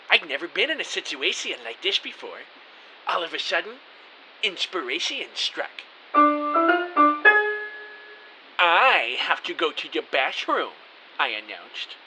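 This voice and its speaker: Man playing an animated, high-voiced everyman narrator. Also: measured pace. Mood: anxious narration. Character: hapless, anxious everyman narrating his kidnapping. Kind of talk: dramatic first-person scripted narration. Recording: faint hiss.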